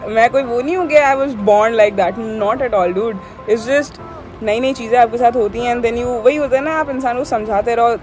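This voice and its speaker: A woman of twenty-one talks straight to her viewers in a loose, opinionated ramble, often stopping to add a quick aside. Her voice sits a little high and she takes her time. Clear music plays under everything she says. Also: darkish tone. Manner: rambling, opinionated, code-switching, often says "yaar" and "theek hai". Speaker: vlogger. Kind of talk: casual talking-head vlog.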